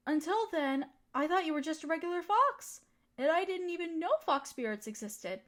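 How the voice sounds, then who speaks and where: A woman's voice: very high voice, lively intonation, normal speed, a bit bright. A woman playing an affectionate, teasing girlfriend in an intimate comfort roleplay, soothing and cooing as she pampers her partner. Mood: fond. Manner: cooing, soothing pet-talk. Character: affectionate, teasing, nurturing girlfriend of a fox spirit. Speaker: audio roleplay voice performer.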